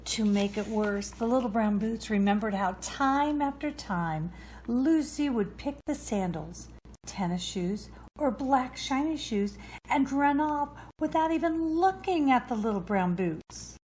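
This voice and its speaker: A woman reading a children's storybook aloud in a deliberate way. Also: fairly high pitch, animated, darkish tone, a little hiss or hum. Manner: slow, deliberate narration. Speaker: storybook reader.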